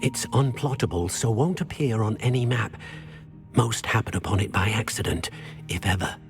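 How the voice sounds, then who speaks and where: A man's voice: lowish voice, animated, normal speed. An actor voicing a small, eager and humble male house-elf in a video game, who speaks of himself by name. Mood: helpful. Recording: game soundtrack with clear music.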